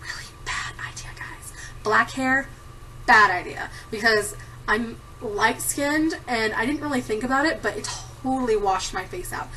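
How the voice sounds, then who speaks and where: A woman's voice: on the high side, a little slow, sharp tone, distant mic, a little hiss or hum, low-quality recording. A woman in her thirties chatting casually to camera, giggling often and rambling with lots of ums. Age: 30s. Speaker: vlogger answering a tag. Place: home room at a desktop computer. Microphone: computer camera mic.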